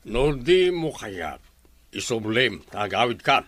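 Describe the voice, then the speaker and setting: A man's voice: on the low side, very animated, slow, a bit bright, no room sound, heavily acted. A man playing a stingy, curt, scornful farm boss in a radio drama. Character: stingy, dismissive farm boss who underpays workers.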